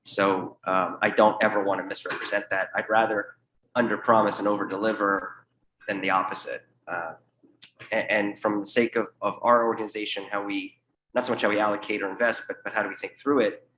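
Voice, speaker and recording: Young man, flat candid panelist. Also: on the low side, brisk. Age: young adult. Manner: candid, casual, repeats words. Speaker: family office investor, panelist. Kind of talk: conference panel remarks. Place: conference room with live audience. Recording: echoey room, faint hum.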